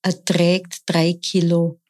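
A middle-aged woman, a nurse, explaining her practice in calm, measured interview answers. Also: on the low side. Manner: calm, measured, often slow and deliberate. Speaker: nurse and sound bowl practitioner.

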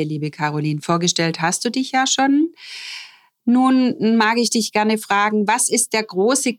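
Lower-voiced woman hosting podcast. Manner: warm, formal address with frequent fillers. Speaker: managing director hosting the podcast. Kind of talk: friendly podcast interview.

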